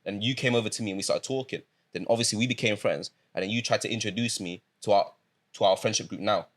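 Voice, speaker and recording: A man's voice: fairly low pitch, fast talker. A young man from England co-hosting a podcast, chatting in a laid-back, teasing way and telling stories. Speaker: podcast co-host. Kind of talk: casual chat.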